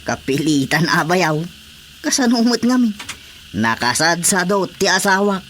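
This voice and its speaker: A man's voice: high voice, animated, a little slow, a bit bright. A fed-up, overworked husband in a radio drama. He complains in a plaintive, grumbling way with an Ilocano accent, over faint background noise. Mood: resigned grumbling.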